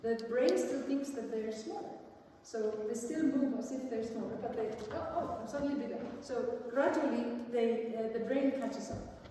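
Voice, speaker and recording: A woman from Iceland, a music teacher explaining things to a conference audience in an informal, halting way. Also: fairly high pitch, warm tone. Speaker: music teacher and viola player presenting. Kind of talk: conference talk with audience questions. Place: large indoor conference hall. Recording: echoey hall from far off, faint noise.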